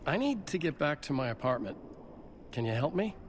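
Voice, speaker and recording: A man's voice: fairly high pitch, sing-song, a little fast, background noise. An actor playing a troubled man piecing together a mystery, speaking in a terse, serious way in a video game. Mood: uneasy. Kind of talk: game cutscene and narration.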